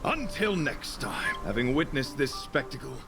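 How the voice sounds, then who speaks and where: A man's voice: medium pitch, very animated, normal speed. A man voicing a noble, devout young knight in a video game, speaking in formal, flowery, courtly phrases over music. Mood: proud, reassuring. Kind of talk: theatrical video game voice acting.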